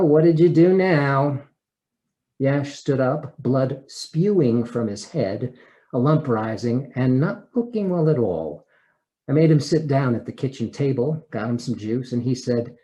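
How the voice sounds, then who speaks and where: A male actor reads a first-person personal essay aloud in an expressive, theatrical manner, with deliberate pauses and emotional swells. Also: medium pitch, rough recording.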